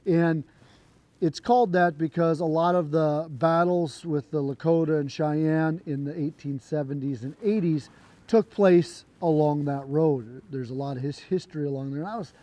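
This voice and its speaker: A man with a hoarse voice, still recovering from a cold, casually telling a long story to camera. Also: a little high, moderate pace, no echo. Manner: relaxed, rambling, with fillers and restarts. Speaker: trick shooter and channel host. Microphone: camera mic.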